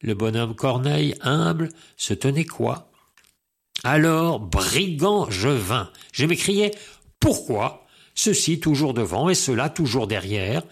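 A man's voice: mid-range voice, expressive. Man declaiming verse dramatically. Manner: declamatory, emphatic, with deliberate phrasing. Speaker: poetry reciter. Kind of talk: dramatic recitation of classical French verse.

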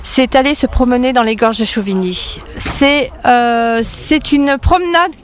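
A woman's voice: on the high side, a little slow. A woman in her fifties, interviewed on local radio, talking eagerly and rambling with many fillers. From France. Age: 50s. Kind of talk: casual interview.

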